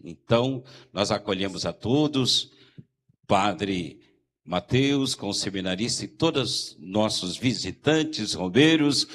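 A Brazilian man, a parish priest, welcoming visitors at a novena service in a slow, pausing way. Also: medium pitch, fairly bright tone. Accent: Brazilian Portuguese.

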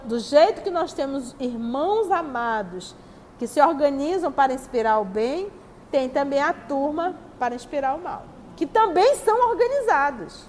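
High-voiced Brazilian woman lecturing in a hall. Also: darkish tone. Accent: Brazilian Portuguese. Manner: teacherly, rhetorical questions answered herself. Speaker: spiritist lecturer. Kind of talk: religious study talk, reading and commenting on texts. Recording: long hall reverb, faint background noise.

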